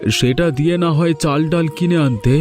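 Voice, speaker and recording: A man's voice: a little high, moderate pace, a little mellow, soft background music, natural voice. A man voicing a poor, hungry middle-aged farmer in a cartoon, pleading with his wife for food. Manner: pleading, complaining. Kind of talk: cartoon dubbing.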